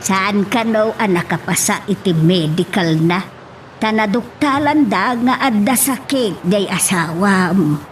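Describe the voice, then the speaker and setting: A woman's voice: medium pitch, unhurried, a little hiss or hum, close to an everyday voice. A woman playing a fond elderly village mother-in-law with an Ilocano Filipino accent, hesitant, in an amateur audio drama. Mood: concerned. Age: older.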